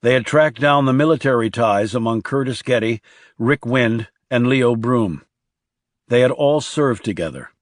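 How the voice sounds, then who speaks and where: A man's voice: medium pitch, moderate pace, dry recording, clean studio sound. A man reading a thriller audiobook as a scripted narrator.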